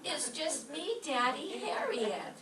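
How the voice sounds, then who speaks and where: A woman's voice: sits high, very animated, slow pace, a bit bright, exaggerated voice. A woman playing a sweet teenage daughter in an old-time radio comedy. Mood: sweet, dutiful. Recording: distant echoey stage, clear noise.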